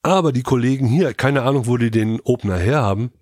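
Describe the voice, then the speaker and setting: A man's voice: medium pitch, fast, darkish tone, dry recording. A man with a mid-range voice chats casually as a podcast co-host. He is colloquial and a little cheekily self-important, recorded dry and close. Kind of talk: casual panel chat.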